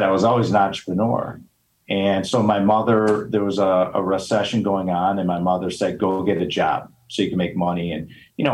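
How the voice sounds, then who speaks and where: A middle-aged man with a Chicago accent, speaking earnestly as a podcast guest, with frequent fillers and restarts. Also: deep voice. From Chicago, United States. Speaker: podcast guest, real estate investor. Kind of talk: casual interview talk.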